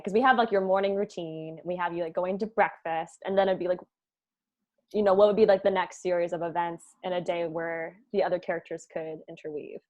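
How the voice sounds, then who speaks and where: A woman's voice: lowish voice, darkish tone, muffled recording. A woman leading a casual creative discussion as the writer, informally thinking aloud.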